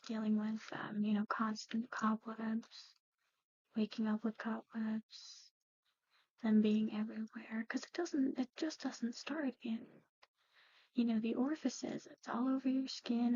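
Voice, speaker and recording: A woman doing a whispered ASMR medical roleplay, explaining things gently and hesitantly as a matter-of-fact clinic practitioner. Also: on the high side, no echo, lo-fi sound. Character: soft-spoken, matter-of-fact woman at an eerie old clinic. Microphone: close-up ASMR microphone.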